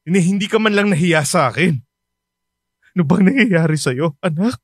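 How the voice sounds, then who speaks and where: A man's voice: high voice, expressive, normal speed, breathy edge, no room sound, clean studio sound, exaggerated voice. A man playing a stern, anguished working father in a radio drama, emotional and exasperated. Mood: anguished, reproachful.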